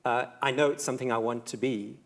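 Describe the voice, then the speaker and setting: Middle-aged male panellist, South African accent. Reflective, measured, earnest delivery for a live debate. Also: mid-range voice, fairly even intonation, creaky.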